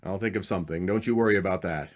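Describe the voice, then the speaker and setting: A man's voice: low-pitched, fast, close to an everyday voice. A man playing a loyal, reassuring friend and husband in old-time radio drama, speaking in short clipped phrases.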